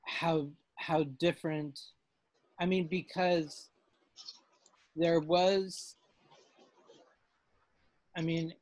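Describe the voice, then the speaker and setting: A man leading a casual class discussion over a video call, halting and full of restarts. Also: fairly high pitch, flat delivery, a little mellow, no room sound, poor audio. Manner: halting, many fillers and restarts.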